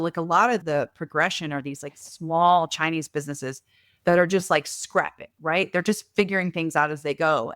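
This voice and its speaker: A woman consultant answering interview questions in a brisk, conversational way, often adding "like" and "right?". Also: lowish voice, no echo, studio quality. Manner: energetic, uses "like" and "right?" often. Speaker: guest mentor, e-commerce consultant.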